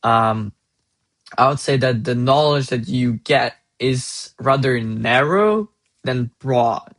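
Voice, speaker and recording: A man's voice: medium pitch, slow pace, a bit bright. Young man with a Russian accent. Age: young adult. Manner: earnest, hesitant, restarts mid-phrase. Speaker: IB student. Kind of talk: casual advice monologue.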